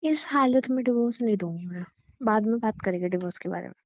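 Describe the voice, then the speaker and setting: A woman's voice: medium pitch, quick pace, close to an everyday voice. A woman playing a stubborn, guilt-ridden wife in a relationship audio drama, speaking firmly, insistently and curtly. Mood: firm, concerned. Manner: firm, insistent, curt. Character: stubborn, guilt-ridden wife refusing to abandon her husband. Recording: dry, dull lo-fi sound.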